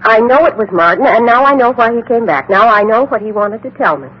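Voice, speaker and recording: An actress playing a fervent, easily frightened medium, insistent and repetitive, in 1950s radio drama style. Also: female voice, a little high, animated, fairly quick, breathy edge. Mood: fervent, resolute. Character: frightened, fervent phony medium convinced by her brother's ghost. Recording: dull vintage 1950s radio recording.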